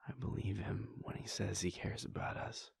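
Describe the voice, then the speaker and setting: A man voicing a whispering gravelly vampire prince. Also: fairly low pitch, fairly even intonation, normal speed, dry recording, full character voice. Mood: wistful. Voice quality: gravelly, breathy. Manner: soft whispering, hesitant restarts. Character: brooding male vampire prince, conflicted and introspective. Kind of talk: audio roleplay monologue.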